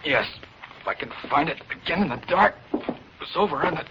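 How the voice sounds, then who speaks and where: A man's voice: fairly high pitch, animated, fairly quick, close to an everyday voice. An actor playing a tense, resolute trapped man in a clipped 1940s radio drama style. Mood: hushed, tense. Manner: clipped, urgent, colloquial. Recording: muffled hissy 1940s radio recording.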